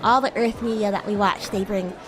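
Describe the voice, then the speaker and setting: A woman's voice: mid-range voice, fairly even intonation, brisk, a crowd in the background, close to an everyday voice, poor audio. A woman streamer voicing a bratty, haughty yet sweet young demon classmate in improvised, teasing roleplay chat. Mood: chatty, teasing. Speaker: streamer, VTuber host.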